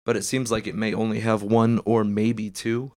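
A man's voice: on the low side, little pitch movement, moderate pace, darkish tone, no echo. A man hosting a casual chat, congested and losing his voice, talking in loose rambling bursts. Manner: rambling, filler-heavy. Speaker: podcast host.

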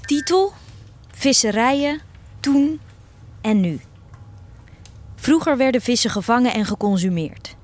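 A woman playing an earnest schoolgirl, proud of her fish farmer father, narrating a radio play in a measured storytelling way. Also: fairly high pitch, expressive, a little mellow, a little hiss or hum. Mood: earnest, recounting.

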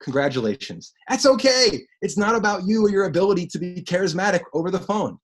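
A man with a deep voice preaching a sermon as pastor, animated and repeating phrases for emphasis. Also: fast talker.

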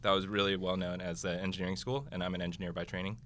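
Very deep-voiced easygoing man. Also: little pitch movement, very fast, a bit bright, slight background noise. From Florida. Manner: easygoing, chuckling, lots of "uh". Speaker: MBA student guest. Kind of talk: casual interview chat.

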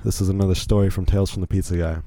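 A man's voice: low voice, little pitch movement, rapid-fire, mellow, no room sound. A young man from Virginia hosting a solo podcast, rambling casually with frequent restarts and self-deprecating asides. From Virginia, USA. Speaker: podcast host.

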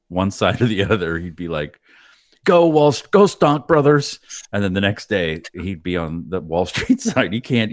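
A man's voice: deep voice, dry recording, clean studio sound. A man joking sardonically in a casual podcast chat.

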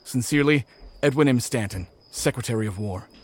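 An actor playing a formal reader of an official 1860s telegram in an audio drama, measured and declarative. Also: male voice, medium pitch, background noise, lightly acted. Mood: official, grave. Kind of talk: scripted dramatic reading.

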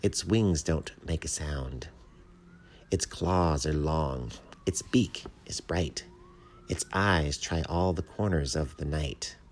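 A man's voice: rumbling low, slow pace, slight background noise. A man voicing a shy, earnest little bat who writes poems, tentative and naive, in a storybook reading. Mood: hushed, solemn. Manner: tentative, naive, easily bewildered.

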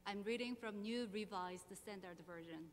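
Korean-accented woman pastor in echoing hall. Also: medium pitch. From South Korea. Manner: measured, earnest, slow deliberate phrasing. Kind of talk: sermon with prayer and scripture reading. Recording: long hall reverb, faint hum.

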